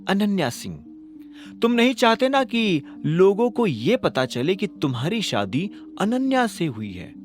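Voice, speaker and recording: Audio drama rival: scornful young woman, raised pitch, swooping and mocking , played by a male narrator, music underneath. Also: very animated, measured pace. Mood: smug, threatening. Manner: mocking, gloating.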